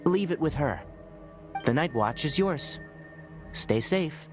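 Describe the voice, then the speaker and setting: An actor playing a calm, principled young forest ranger and scholar who speaks in a measured, gently lecturing way in a video game. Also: male voice, a little high, music in the background. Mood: kindly.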